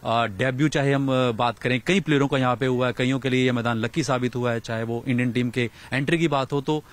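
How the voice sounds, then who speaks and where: Male sports reporter, thirties, mid-pitched voice, on-location news delivery, handheld mic outdoors. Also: steady tone, fairly quick. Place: outdoors in a large empty cricket stadium. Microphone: handheld reporter microphone.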